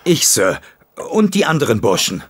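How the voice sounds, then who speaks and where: Deferential stable lad, medium pitch. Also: male voice, huge pitch swings, normal speed. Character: respectful, plain-spoken stable lad. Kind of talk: German audio drama acting.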